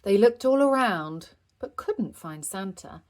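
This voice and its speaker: A woman narrates a children's picture book in rhyme. Her delivery is unhurried and very animated, rising and falling with the story. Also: medium pitch. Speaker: storybook reader.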